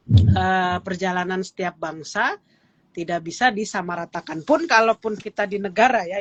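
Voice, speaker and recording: A woman's voice: on the low side, fairly bright tone, no echo. A woman in her thirties, a guest expert, explaining heritage in an interview with many "eh" and "ya" fillers.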